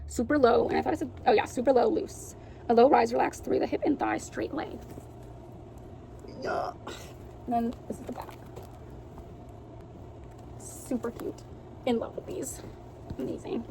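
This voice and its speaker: A teenage girl vlogging casually at home, chatty and full of fillers, with a raspy voice. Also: on the high side, a little mellow. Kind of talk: casual chat. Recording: noisy home recording.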